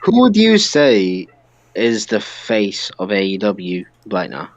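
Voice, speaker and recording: A British man with a slightly low voice talks informally as a podcast co-host, airing his opinions freely. The sound is very dry and a little dull, like a lo-fi call recording. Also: huge pitch swings, slow pace, no echo. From United Kingdom. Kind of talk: casual wrestling podcast chat.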